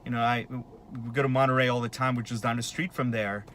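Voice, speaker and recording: A man's voice: medium pitch, little pitch movement, a little fast, fairly bright tone, a little hiss or hum. A man in his late thirties talking in a casual, rambling monologue, with lots of fillers and restarts. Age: 30s. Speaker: vlogger.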